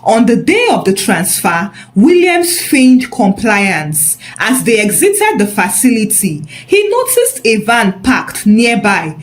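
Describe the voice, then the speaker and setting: Slow, lively woman storyteller with high voice. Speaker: storyteller, narrator. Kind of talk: scripted dramatic storytelling narration.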